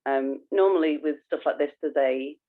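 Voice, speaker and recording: A woman's voice: low-pitched, moderate pace. A woman co-hosting a podcast in casual chat, informal and thinking aloud. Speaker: podcast co-host. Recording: thin, narrow phone-like line.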